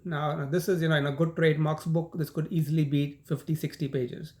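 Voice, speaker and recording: A man's voice: a little high, flat delivery, brisk, a little mellow. A middle-aged man with an Indian accent gives a law lecture in a conversational way, often saying "um" and "you know" and repeating words as he goes. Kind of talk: recorded university lecture.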